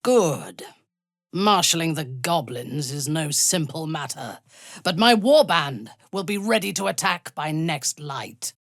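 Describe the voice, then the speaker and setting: A woman voicing a cold, commanding female dark elf warrior in a fantasy game, with a husky, breathy voice and slow, imperious, precise delivery. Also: low voice, expressive, no echo, clean studio sound, full character voice. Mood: cold menace. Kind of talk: dramatic fantasy game voice acting.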